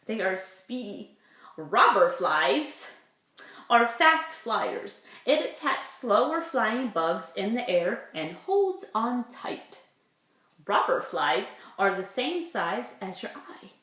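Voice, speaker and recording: A woman's voice: medium pitch, fairly bright tone. A woman, a preschool teacher reading aloud to young children with simple, chatty explanations. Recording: echoey room.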